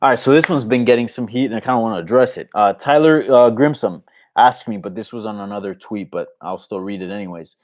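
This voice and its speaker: A man's voice: fairly low pitch, quick pace, a little mellow. A man from Colombia whose first language is Spanish, hosting a casual, unscripted live Q&A in an informal, conversational way. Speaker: host of an MMA live Q&A show.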